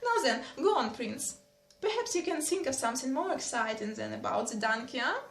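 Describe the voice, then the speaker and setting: A woman reading as a fussy, quick-tempered noble mother who scolds and exclaims. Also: sits high, big pitch swings, gravelly, a bit bright, full character voice. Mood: brisk. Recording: roomy echo.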